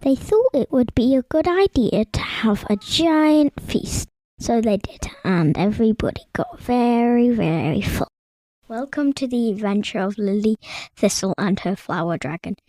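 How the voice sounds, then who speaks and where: A woman's voice: a little high, expressive, measured pace, mellow, no echo, rough recording. A woman narrating a bedtime story as a podcast co-host.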